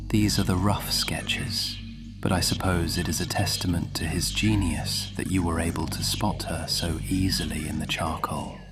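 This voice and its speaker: Casting: male actor for ancient supernatural nobleman, very low and unhurried, breathy half-whisper, cultured and condescending, ASMR fantasy drama, music underneath. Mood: calm, musing. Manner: measured, condescending, persuasive, often dropping into whisper. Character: scheming, cultured, centuries-old male supernatural lord. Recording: music behind the voice.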